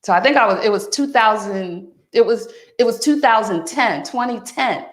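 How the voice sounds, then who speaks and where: A woman's voice: fairly high pitch, lively intonation, normal speed. Folksy woman in her fifties, echoey room. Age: 50s. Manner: folksy, addresses listener as friend and honey. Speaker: wellness coach. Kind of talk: motivational talk.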